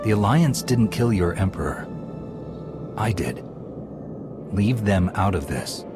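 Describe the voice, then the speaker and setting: An actor playing a resolute, earnest Jedi commander in a video game, speaking firmly and protectively. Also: male voice, fairly low pitch, lively intonation, takes it slowly. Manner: firm, earnest. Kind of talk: game cutscene acting. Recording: loud music behind.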